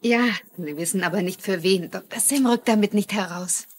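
An actress playing an earnest, caring hospital nurse, matter-of-fact and considerate, in a dubbed TV drama. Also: female voice, mid-range voice, moderate pace, darkish tone, close to an everyday voice. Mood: confiding. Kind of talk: dubbed TV mystery drama acting.

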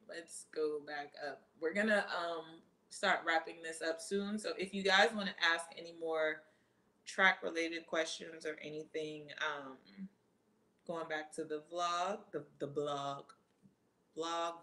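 Relaxed athlete host, thirties, bright tone in a lower register, reads questions then answers. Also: female voice, a little slow. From Trinidad and Jamaica family roots, living in the US. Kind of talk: casual live Q&A.

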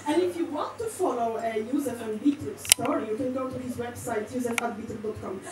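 High-voiced Polish woman doing stand-up. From Poland. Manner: chatty and halting, with many fillers, restarts and giggles. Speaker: stand-up comedian in character as a cleaning lady. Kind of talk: stand-up comedy set. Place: small indoor comedy club stage. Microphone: handheld mic, heard from a distant camera. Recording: roomy club sound from far off with audience noise.

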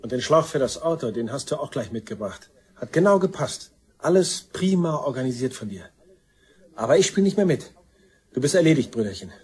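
An actor playing a resentful, self-excusing murder suspect in a crime radio play, talking in a colloquial, defensive way. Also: male voice, mid-range voice, big pitch swings, a little fast, a little mellow, breathy edge. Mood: bitter, spiteful.

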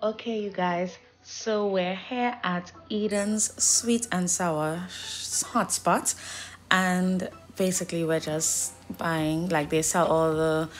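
A woman's voice: fairly low pitch, fairly even intonation, slow, soft background music. A young woman with a Trinidadian accent, chatting casually to the camera as a vlogger. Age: young adult.

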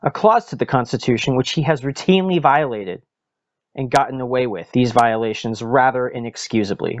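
An American man, a podcast host, gives a dramatic, deliberate reading of a political commentary with weighted pauses. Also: mid-range voice. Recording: dull lo-fi sound with music behind.

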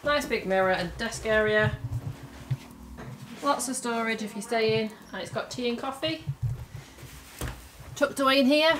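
A woman's voice: fairly high pitch, a little slow, pauses often, noisy background. A woman in her thirties with a British accent, vlogging in a chatty, informal way to a handheld camera in a hotel room. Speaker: family travel vlogger. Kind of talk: casual vlog chat to camera. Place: small hotel room and bathroom. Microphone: handheld camera at arm's length.

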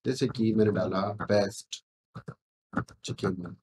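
A middle-aged man giving a self-assured screen-share sales pitch as a consultant, repeating phrases for emphasis. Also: takes it slowly, darkish tone. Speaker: SEO consultant. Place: indoor room, screen recording with webcam.

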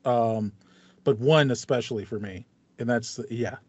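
American male podcast co-host, 30s, mid-pitched, slangy storyteller, dry and slightly muffled audio. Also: a little slow, a little mellow, slightly rough, dry recording. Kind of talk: casual chat about video games. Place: small home room with foam wall panels. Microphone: headset, video call.

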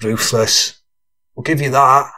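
Man acting slow, boastful lad. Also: mid-range voice, fairly even intonation, sharp tone. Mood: teasing. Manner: slangy, teasing. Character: cheerful, boastful, cackling male drug-dealing pal. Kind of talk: full-cast audiobook character acting.

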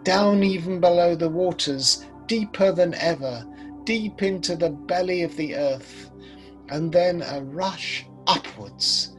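A man in his sixties playing an older, rueful prophet recalling his past in a measured, reflective dramatic monologue. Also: on the high side. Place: home study, indoors. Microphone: video call.

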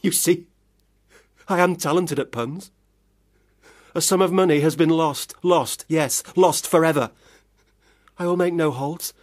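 An actor playing an unstable, self-pitying young Victorian brother, declamatory and erratic, in a period radio drama. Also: male voice, a little high, unhurried, pauses often, natural voice. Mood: grandiose pleading.

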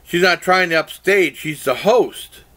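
A man's voice: high voice, a little slow, pushed and bright. An older man casually reviewing a game show to his webcam in a small room, rambling with many fillers and restarts. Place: small room indoors, plain wall. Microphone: webcam at desk.

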